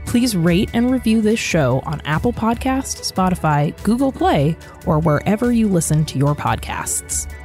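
Woman doing a scripted podcast outro, lowish voice, lots of melody, faint music behind. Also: very animated, normal speed, hardly any pauses, darkish tone. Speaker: podcast announcer.

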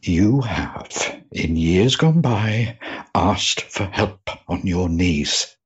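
A man playing an earnest, pious clergyman in a period drama, with a grave, imploring manner and a husky voice. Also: low-pitched, expressive, very slow, lo-fi sound, exaggerated voice. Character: earnest, pious, solemn clergyman pleading for a soul. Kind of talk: period audio drama acting.

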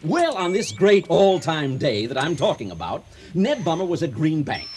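An actor playing a pompous, folksy orator giving a comic ceremonial speech in a radio drama. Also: male voice, a little high, very animated, moderate pace, darkish tone, slight background noise. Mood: folksy explaining. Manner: grandiloquent, theatrical delivery.

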